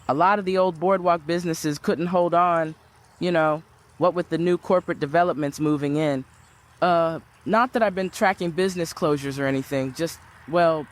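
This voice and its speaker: Guy acting awkward driver dude, slightly high voice, ums and stumbles, nervous small talk, podcast drama. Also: natural voice. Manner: hesitant, stammering, nervously chatty. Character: awkward, eager, evasive male rickshaw driver. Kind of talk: audio drama dialogue.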